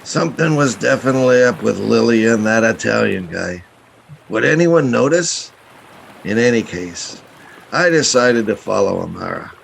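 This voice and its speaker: A man playing a sly, scheming boat captain in a radio play, insinuating and mock-polite with a gravelly voice. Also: on the low side, animated, moderate pace, slight background noise, rough recording. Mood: scheming, confiding. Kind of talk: radio drama acting with confiding narration.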